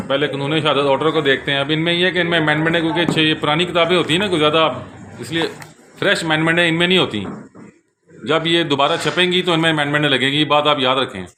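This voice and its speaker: Bright, higher-voiced Pakistani man presenting books. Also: fairly even intonation, fast talker, slight background noise. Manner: explanatory, repetitive, conversational. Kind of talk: informal product overview with read-out passages. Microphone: handheld camera held in one hand.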